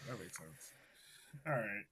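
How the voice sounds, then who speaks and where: A man's voice: lowish voice, expressive, unhurried, sharp tone, slightly rough. A man co-hosting a casual sports chat, putting questions to his guest. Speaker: podcast co-host.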